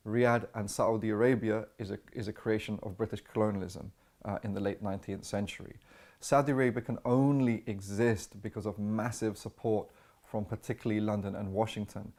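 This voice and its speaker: A man's voice: on the low side, fairly even intonation, normal speed, a little mellow. A man giving argumentative political commentary, emphatic and given to restating his points.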